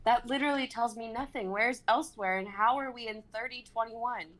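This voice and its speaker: A woman's voice: fairly high pitch, a little fast, fairly bright tone, natural voice. A woman in her twenties playing a bewildered, anxious pet owner, incredulous and questioning, in a dramatic script reading. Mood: confused. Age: 20s. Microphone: microphone on a video call.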